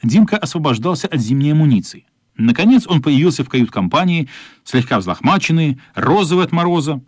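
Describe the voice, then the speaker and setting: A man's voice: fairly high pitch, sing-song, brisk, dry recording, clean studio sound. A man narrating a radio play as a scripted story.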